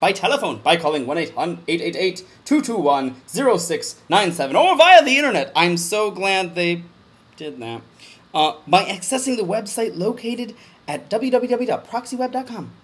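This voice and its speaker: A man giving a mock-dramatic comedic reading of a formal document, with overblown emphasis and deadpan muttered asides. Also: high-pitched, normal speed, husky.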